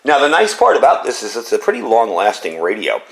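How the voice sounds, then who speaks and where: A man's voice: medium pitch, wildly swooping pitch, fairly quick. A man giving a casual, plain-spoken, hands-on product review in a conversational, explanatory way. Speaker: gadget reviewer presenting a product demonstration. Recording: noisy, with radio static and background hiss.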